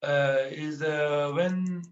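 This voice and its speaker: Slow, halting Afghan poet with an Iranian accent. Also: male voice, fairly high pitch, steady tone, muffled recording. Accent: Iranian-accented English. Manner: halting, many filler pauses. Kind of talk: panel talk about his life and poetry.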